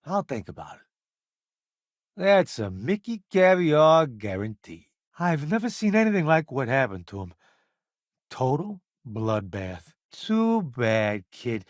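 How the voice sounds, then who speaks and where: A man's voice: mid-range voice, big pitch swings, slow, dry recording, clean studio sound. An actor playing a brash, self-assured middle-aged food journalist turned crewman in a video game, with a wry, theatrical delivery. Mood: wry, cocky. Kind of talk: video game companion voice lines.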